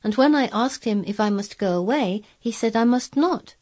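A woman's voice: on the high side, normal speed, a little mellow, lightly acted. A woman voicing a stubborn, self-possessed young girl who speaks firmly and plainly in a storybook reading. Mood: earnest, curious. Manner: firm, plain-spoken, earnest.

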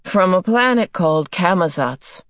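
A grave older father with a frank, dignified bearing, voiced by a woman narrating a storybook. The words come slowly and distinctly on a lowish pitch. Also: no echo, clean studio sound. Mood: grave, steady. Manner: slow, distinct, measured. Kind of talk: audiobook character voice.

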